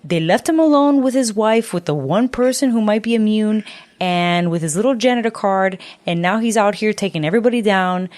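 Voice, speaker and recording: A woman's voice: medium pitch, fairly quick, darkish tone, dry recording. A woman co-hosting a casual, profane chat, ranting emphatically in exasperated slang. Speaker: podcast co-host reviewing a horror film. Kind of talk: casual, profane film discussion.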